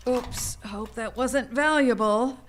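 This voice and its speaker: A woman's voice: a little high, takes it slowly. A woman playing an anxious, polite and hesitant wife in a live radio drama. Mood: anxious, searching. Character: anxious, polite American wife, tourist guest. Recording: live stage recording with background noise.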